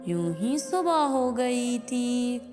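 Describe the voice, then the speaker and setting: A woman's voice: on the high side, sing-song, extremely slow. A woman narrating a scripted cartoon story as its storyteller. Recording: long reverb with music behind.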